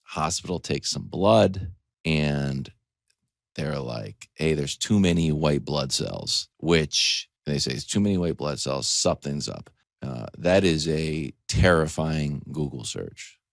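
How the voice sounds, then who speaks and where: A man in his forties, a sports talk host, telling a comedic story in a casual, animated way with choppy asides and acted-out quotes. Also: rumbling low, slow pace, creaky, no echo, clean studio sound. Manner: animated, acts out quotes in dialogue.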